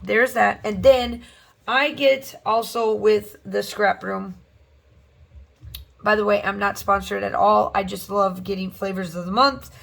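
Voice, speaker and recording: A woman hosting a scrapbooking channel, rambling chattily through a crafting voiceover with many fillers, her voice slightly hoarse from allergies. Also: mid-range voice, fairly even intonation, unhurried, a bit bright, poor audio. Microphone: voice-over recorded separately from the video.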